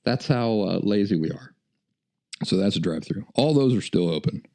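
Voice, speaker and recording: A man's voice: lowish voice, lively intonation, normal speed, dark tone. An American man hosting a podcast, telling stories in a folksy, self-deprecating way. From United States. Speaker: podcast host. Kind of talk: casual storytelling monologue.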